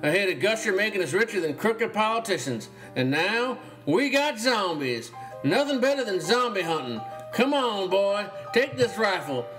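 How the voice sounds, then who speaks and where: A man's voice: high-pitched, big pitch swings, moderate pace, fairly bright tone, faint music behind, rough recording. A man playing a folksy, hearty, trigger-happy uncle in a horror audio story. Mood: gleeful. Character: gleeful, folksy, trigger-happy uncle who hunts zombies.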